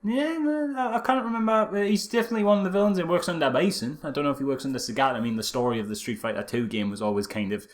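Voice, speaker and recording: A man's voice: fairly high pitch, fast talker, hardly any pauses. A man co-hosting a casual film critique podcast, speaking in a sarcastic, mock-impressed way. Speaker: podcast co-host.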